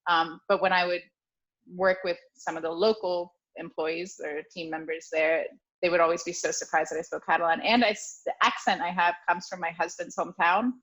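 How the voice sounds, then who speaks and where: A woman's voice: lowish voice, steady tone, a little fast, fairly bright tone. An American woman in her thirties from Ohio, a chatty interview guest who laughs often and trips over restarts and fillers. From Ohio, USA. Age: 30s. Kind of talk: casual chat. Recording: dull lo-fi audio.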